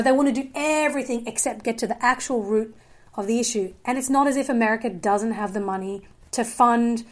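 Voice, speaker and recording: A woman, talking earnestly as a guest in a podcast interview, with many fillers and restarts. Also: medium pitch. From Australia. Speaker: guest filmmaker.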